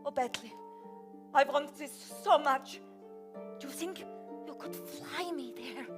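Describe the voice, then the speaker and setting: Woman playing a high-pitched, melodramatic young vampire girl. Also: slow, music in the background. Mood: yearning. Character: young vampire daughter, gushing, yearning, sheltered. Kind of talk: improvised comic stage acting.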